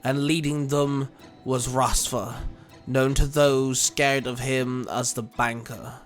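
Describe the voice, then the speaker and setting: A man's voice: medium pitch, fairly even intonation, slow pace, soft background music. A man reading scripted fan fiction as the narrator of an action story.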